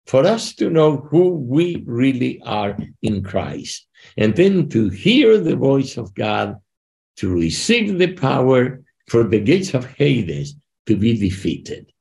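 An older man speaks from his living room on a video call, hosting with fervent, preacher-like emphasis. His delivery is slow and unhurried, with a gravelly edge and a lively, swinging pitch. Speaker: podcast host. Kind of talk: conversational interview.

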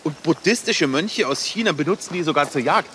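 Fast, excitable know-it-all policeman. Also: on the high side, a little hiss or hum. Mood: eager. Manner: excitable, lecturing. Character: eager, know-it-all male police officer, chatty.

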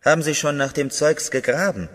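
An actor playing a stern, condescending narcotics captain in a German radio play. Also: male voice, a little high, fairly quick, a bit bright, big-room sound. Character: stern older male narcotics captain, mocking.

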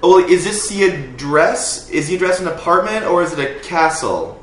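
A man's voice: high-pitched, sing-song, moderate pace. Man doing cheerful, naive Manolo, lilting. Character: cheerful, polite, naive caller named Manolo. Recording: roomy living room recording.

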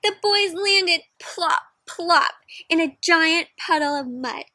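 Bright, high-voiced young woman reading to children. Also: takes it slowly, no room sound, studio quality. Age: young adult. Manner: expressive, asks the listener questions, gasps. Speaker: children's story reader. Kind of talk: interactive picture-book read-aloud for children. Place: outdoors in a backyard with play structure.

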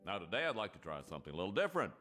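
An actor playing a tired small-town cartoon pastor leading a service. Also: male voice, low voice, big pitch swings, racing, bright, forceful tone, soft background music, heavily acted. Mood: preaching. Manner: sing-song preaching, tired patience. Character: weary small-town male preacher. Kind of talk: sermon.

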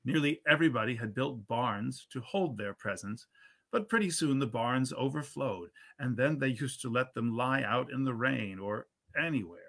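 A man in his fifties reading an old holiday tale aloud in an expressive, measured storyteller's manner. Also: medium pitch, gravelly. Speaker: reader at a literary reading series. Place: indoors at a computer, live stream.

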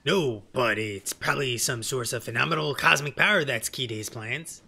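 A man's voice: medium pitch, moderate pace, creaky, bright, forceful tone, husky, voice pushed down, full character voice. A man voicing a wry, casual bearded engineer in a fantasy game. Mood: musing. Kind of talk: fantasy game dialogue reading. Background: game music.

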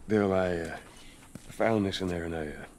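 An actor playing a weary middle-aged survivor in a video game, terse and low-key. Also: male voice, normal speed, darkish tone, a little hiss or hum, lightly acted. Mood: subdued.

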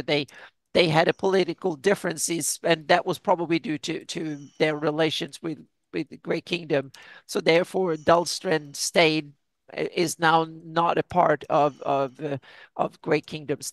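A man with a fairly high voice hosts a relaxed lore discussion. He talks haltingly, stopping and repeating words as he thinks. The recording is dry and close. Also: no room sound. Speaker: podcast host.

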